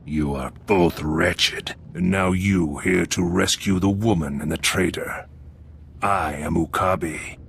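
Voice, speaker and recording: An actor playing a menacing cybernetic crime boss in a video game, with a grandiose, contemptuous, threatening delivery. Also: male voice, sits low, steady tone, slow pace, creaky, fairly bright tone, slight background noise, heavily acted. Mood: contemptuous menace. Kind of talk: video game villain acting.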